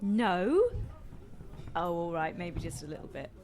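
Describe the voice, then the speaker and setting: A woman acting in a radio play as a dry, gently teasing date who is politely unimpressed. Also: lowish voice, measured pace, lightly acted. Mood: wry teasing.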